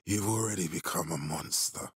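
An actor playing a menacing middle-aged military leader in a video game, authoritative, curt and measured. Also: male voice, low voice, slightly rough, no echo, studio quality. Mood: grave, ominous. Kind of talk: dramatic video game voice acting.

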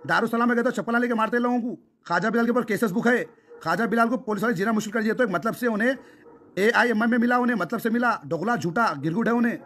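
A man with a Hyderabadi accent speaks in a high voice at a rapid pace. He is emphatic and insistent, repeating phrases as he persuades someone over a recorded phone call. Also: fairly bright tone, a little hiss or hum. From Hyderabad, India. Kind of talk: phone conversation, impassioned persuading.

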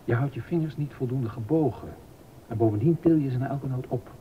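An actor playing a stern, exacting baroque composer and organist in a docudrama, emphatic and easily indignant. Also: male voice, fairly quick, close to an everyday voice. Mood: exacting, instructive. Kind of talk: docudrama radio acting. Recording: dull audio with rumbling noise.

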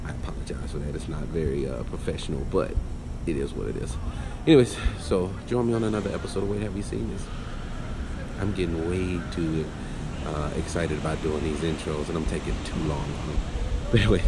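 A very deep-voiced man in his forties, nose stuffed up from a cold, chatting easily to a handheld camera as he walks. He rambles along with plenty of fillers. Loud street and traffic noise surrounds him outdoors. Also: brisk. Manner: easygoing, chatty, frequent fillers. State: congested from a cold. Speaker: host. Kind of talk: casual walking vlog. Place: outdoors at a busy street market. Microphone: action camera held at arm's length.

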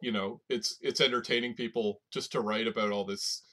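A man's voice: lowish voice, steady tone, brisk, fairly bright tone. A man co-hosting a podcast, chatting casually about books in loose, informal phrasing. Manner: informal, loose phrasing with "like", "right?" and "you know". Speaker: podcast co-host. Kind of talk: casual conversational book discussion.

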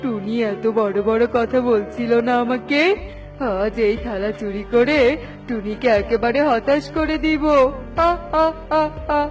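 A man's voice: extremely high pitch, measured pace, faint music behind. A man voicing a selfish, greedy male bird in a children's cartoon, scolding and boastful. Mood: gloating.

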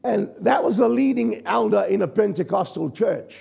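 A man in his sixties, a pastor from New Zealand, preaching a sermon from a church stage with a croaky, gravelly voice and a conversational manner. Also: high voice, normal speed, mellow. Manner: conversational preaching, checks in with the congregation. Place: church stage, large indoor hall. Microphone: stage mic at a lectern, far camera.

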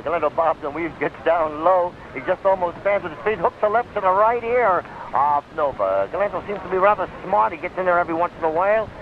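An American man giving live blow-by-blow boxing commentary in the style of 1930s radio. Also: sits high. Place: ringside at an outdoor stadium. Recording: tinny, noisy 1939 radio broadcast recording.

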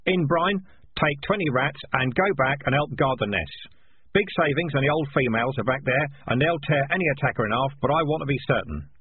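A man's voice: medium pitch, a little fast, pitched above the performer's own voice, natural voice. A man voicing a fierce, exhausted rat commander in a storybook, urgent, breathless and commanding. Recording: muffled phone-like audio, clear background noise.

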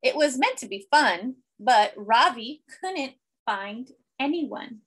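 A woman in her thirties reading a children's picture book aloud expressively, with deliberate, simple phrasing and emphasis. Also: a little high, lively intonation, a bit bright, husky. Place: small indoor room.

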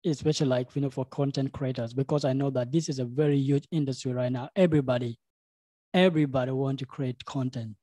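A young man hosting a podcast interview, halting and full of fillers like "you know.". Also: mid-range voice, steady tone, warm tone, no room sound. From South Sudan. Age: young adult. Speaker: podcast host. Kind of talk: casual interview. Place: home studio room. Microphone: studio microphone with headphones.

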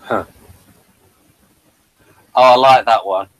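A man's voice: mid-range voice, slow pace, a bit bright, dry recording, low-quality recording. A man chatting casually as he co-hosts a review podcast. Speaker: podcast co-host.

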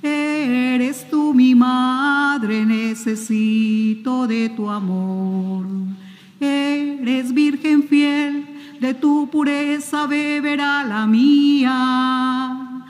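A woman cantor slowly singing a Marian hymn during a Mass broadcast. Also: high-pitched, fairly even intonation, big-room sound, soft background music.

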